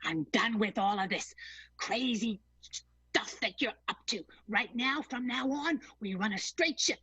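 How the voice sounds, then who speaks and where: A woman's voice: fairly high pitch, a little slow, pushed and bright, slightly rough, no room sound, full character voice. A woman playing a bossy, exasperated theater manager laying down the law in an improvised comedic radio play, stern and insistent.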